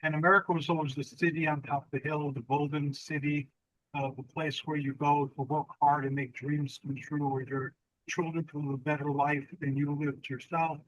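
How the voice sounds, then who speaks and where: A male host interviewing a candidate, reflective and measured, with occasional fillers. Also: a little high, level tone, fairly bright tone, dry recording, dull audio. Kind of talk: interview hosting.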